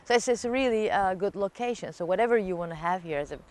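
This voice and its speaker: A woman talking casually in an unscripted interview, halting and repeating herself, with informal emphasis. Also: medium pitch, animated, a little mellow.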